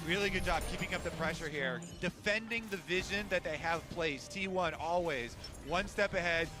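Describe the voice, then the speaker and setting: A man's voice: sits high, moderate pace, music in the background. A man giving live colour commentary on an esports match, explaining team decisions and plays.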